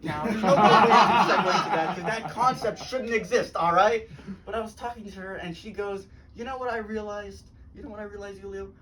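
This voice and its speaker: A teenage man from Los Angeles doing a deadpan live stand-up set, often laughing at his own jokes. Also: high voice, fairly quick. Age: teens. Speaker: stand-up comedian. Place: comedy club stage, live audience. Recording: noisy live room, mic at a distance.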